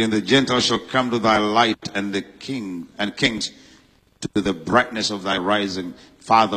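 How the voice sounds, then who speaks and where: Man preaching, from Uganda, bright forceful tone, husky edge, long hall reverb. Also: on the low side. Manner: fervent, slips into loud shouted preaching and scripture reading. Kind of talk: sermon. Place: church hall.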